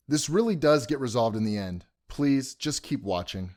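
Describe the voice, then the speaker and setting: Guy playing apologetic dude explaining himself, normal pitch, audio drama. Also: expressive, moderate pace, lightly acted.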